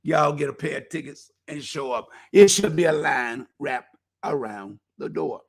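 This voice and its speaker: Gravelly host losing his voice. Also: fairly high pitch, a little slow. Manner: folksy, preacherly, animated exhortation. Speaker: community talk show host. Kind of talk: casual solo talk show promotion.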